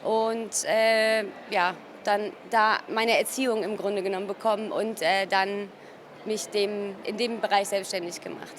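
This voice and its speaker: A woman from Cologne speaking informally as a gallerist in an interview, often pausing mid-sentence to search for her words. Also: mid-range voice, steady tone. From Cologne, Germany. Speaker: gallerist presenting artworks. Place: busy exhibition hall at an art fair. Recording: noisy art fair ambience. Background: ambient fair noise.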